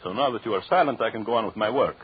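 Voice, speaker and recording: An actor playing a jealous, murderous husband in an old radio drama, with a theatrical, mocking menace and formal phrasing. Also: male voice, fairly low pitch, racing, slightly rough, dry recording. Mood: mocking, gloating. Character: vengeful, jealous husband, cruelly gloating murderous anthropologist. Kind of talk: 1940s radio drama acting. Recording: dull, lo-fi old radio recording.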